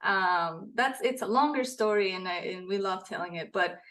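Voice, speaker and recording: A woman's voice: medium pitch, normal speed, a bit bright. Woman from Costa Rica, earnest storyteller. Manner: earnest storytelling, laughs often. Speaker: podcast guest, author. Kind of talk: casual interview chat.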